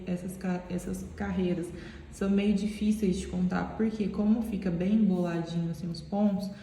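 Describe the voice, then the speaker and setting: A woman's voice: medium pitch, dark tone. A woman with a stuffy, nasal voice, explaining a crochet pattern step by step in a chatty tutorial manner. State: stuffy nose. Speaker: crochet teacher. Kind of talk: casual tutorial talk. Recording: very echoey room with long reverb.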